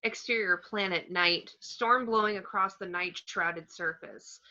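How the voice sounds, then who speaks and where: A woman's voice: on the low side, unhurried, pushed and bright, dull audio. A woman reading screenplay stage directions aloud as the narrator at an amateur table read.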